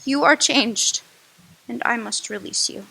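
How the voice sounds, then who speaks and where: A woman playing a young woman who ends her engagement, grave, slow and emotional in radio drama acting. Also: on the high side, vocal fry, pushed and bright, husky, exaggerated voice, low-quality recording. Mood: sorrowful, resolute.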